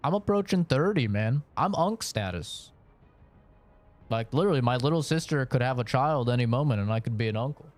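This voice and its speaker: A man's voice: medium pitch, lively intonation, a little fast, soft background music. A man in his late twenties chatting casually while he streams a game, rambling frankly with lots of fillers. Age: late 20s. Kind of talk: casual chat while gaming.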